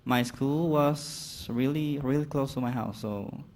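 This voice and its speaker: Cuban teen boy in halting English. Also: medium pitch, darkish tone. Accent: Cuban Spanish. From Cuba, Havana. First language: Spanish. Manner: halting learner's English with restarts. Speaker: student panelist.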